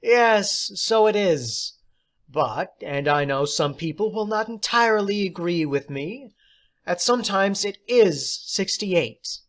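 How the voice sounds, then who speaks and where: A man's voice: sits high, expressive, slow, voice pushed up. A man voicing an eccentric, jolly woman substitute teacher in an audiobook story, precise, theatrical and condescending. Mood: airy, breezy.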